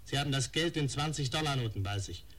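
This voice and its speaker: An actor playing a cold, menacing blackmailer in a German radio crime drama, giving curt, taunting commands. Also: male voice, mid-range voice, animated, fast talker, a bit bright. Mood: cold, threatening.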